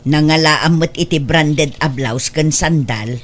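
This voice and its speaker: A woman acting in an Ilocano radio drama as a gently questioning older mother, with an Ilocano accent. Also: deep voice, a little slow. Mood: doubtful. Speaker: drama actor.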